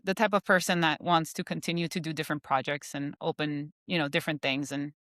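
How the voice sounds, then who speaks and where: Woman guest, conversational, smooth clear tone, fairly low for a woman. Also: steady tone, fast, a bit bright, dry recording, studio quality. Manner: chatty, many "you know" fillers. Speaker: guest, insurance professional. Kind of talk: casual interview chat.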